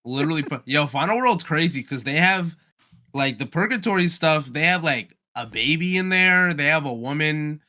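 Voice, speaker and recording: A man's voice: fairly high pitch, lively intonation, moderate pace, pushed and bright. A man chatting casually as one of the co-hosts of a podcast. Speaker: podcast co-host. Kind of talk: casual chat.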